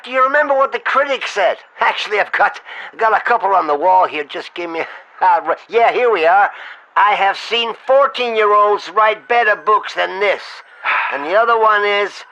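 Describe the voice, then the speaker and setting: Man playing a high-pitched, exasperated talent agent. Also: normal speed, gravelly, sharp tone, exaggerated voice, rough recording. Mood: nagging. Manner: blunt, scolding, sarcastic, talking over the other. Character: exasperated, foul-mouthed male talent agent scolding his client. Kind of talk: comedic mock phone-call sketch.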